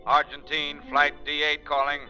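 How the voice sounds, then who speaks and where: A man's voice: fairly high pitch, normal speed, natural voice. An actor playing a businesslike male pilot making clipped reports in 1930s radio style. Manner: clipped, monotone. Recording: muffled old 1930s radio recording, music behind.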